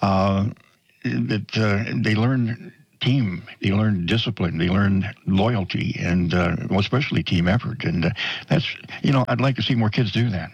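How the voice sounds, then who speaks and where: A man's voice: on the low side, unhurried, husky, no room sound, lo-fi sound. An older man hosting a local radio show, rambling in a folksy way and joking with his guest. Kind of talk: radio interview.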